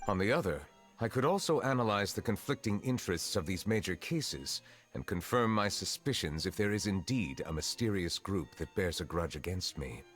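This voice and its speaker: A man voicing a courteous, reserved, centuries-old chief justice in a video game, speaking formally and with measured composure. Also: low-pitched, lively intonation, fairly bright tone, soft background music. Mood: grave, deliberate. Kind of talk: English video game dub acting.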